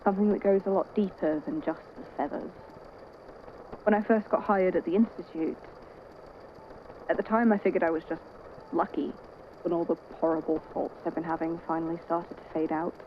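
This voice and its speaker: A woman playing a weary, caring friend in an audio drama, talking in a hesitant, conversational, gently wry way. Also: on the low side, steady tone, normal speed, muffled recording, slight background noise, close to an everyday voice. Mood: wistful, confessional. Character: worried, weary, caring friend at a hospital bedside. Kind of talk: naturalistic audio drama acting.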